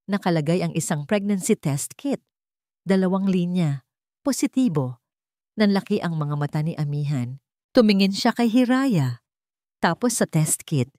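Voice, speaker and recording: A woman's voice: on the low side, dark tone, dry recording, studio quality. A woman with a Filipino accent reading a scripted dramatic story as its narrator.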